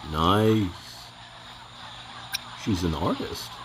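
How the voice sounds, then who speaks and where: A man's voice: sits low, very animated, very slow, a bit bright, slight background noise. A man hosting a reaction video, making amused, laughing asides.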